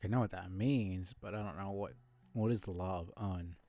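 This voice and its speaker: A man's voice: deep voice, normal speed, warm tone, no echo, soft background music. A man giving casual live commentary on a video game, muttering in a laid-back, mildly grumbling way. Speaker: game streamer.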